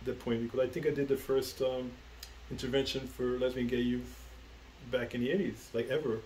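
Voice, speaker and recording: A middle-aged man from the Bronx, answering as the guest in a relaxed interview. He rambles, halts and restarts, filling gaps with little fillers. Faint noise sits behind him, and his pitch sits in the middle range. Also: brisk, roomy sound, low-quality recording. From the Bronx, New York. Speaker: guest, comic book writer. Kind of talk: casual interview conversation.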